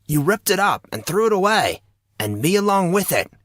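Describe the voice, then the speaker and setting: A furious teenage boy, acted out by a male narrator, hot-tempered and accusing. His voice is pushed up high and lands hard on each charge. It is recorded close and dry, as in a dramatic fantasy audiobook. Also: very animated, moderate pace, a bit bright, no echo. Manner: heated, emphatic, accusing. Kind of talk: audiobook character acting.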